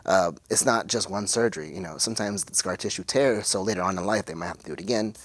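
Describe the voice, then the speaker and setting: Low-voiced man, halting and searching for words. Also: no room sound. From West Texas, United States. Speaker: interview guest, intersex speaker. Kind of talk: casual interview talk, personal account.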